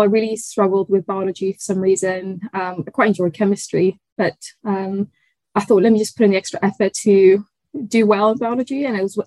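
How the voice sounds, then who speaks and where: A young woman from Tanzania, a research fellow, answering interview questions in a thoughtful way, often pausing with fillers and restarting sentences. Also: mid-range voice, darkish tone, no room sound, rough recording. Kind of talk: conversational interview.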